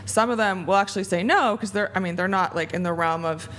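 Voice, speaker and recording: A woman's voice: mid-range voice, fast talker, fairly bright tone, slight background noise. A woman who works as a matchmaker, chatting on a podcast panel and saying "like" a lot. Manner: chatty, lots of "like". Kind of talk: casual panel chat. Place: bright studio with a large panel. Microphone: desk mic on arm.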